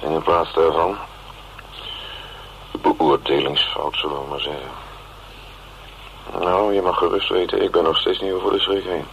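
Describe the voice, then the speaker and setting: A wry, cynical working man with a Netherlands Dutch accent speaks in a gravelly, very low voice. He rambles sardonically and ruefully, as in a radio play. The recording is dull, with a faint hiss. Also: flat delivery, normal speed, long pauses, fairly bright tone. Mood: sardonic, tender. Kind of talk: radio drama acting.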